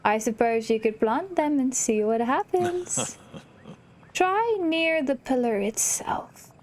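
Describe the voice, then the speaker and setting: A woman's voice: high-pitched, animated, slow pace. A woman hosting a casual let's play, commenting on the game and reading its dialogue aloud. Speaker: gaming channel host.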